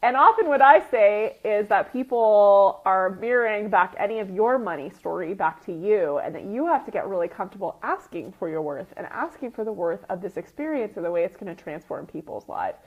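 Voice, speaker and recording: A woman with a mid-pitched, slightly sniffly voice speaks as a coach in an informal live teaching session. She explains step by step in a conversational way, often tagging on quick check-in questions. The recording is somewhat dull and lo-fi. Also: expressive. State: sniffly with a runny nose. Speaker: retreat business coach and group host. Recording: dull lo-fi audio.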